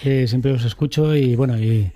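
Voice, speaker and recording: A man's voice: medium pitch, moderate pace, mellow. A man, a guest in a radio studio, speaking politely and courteously. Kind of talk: radio interview chat.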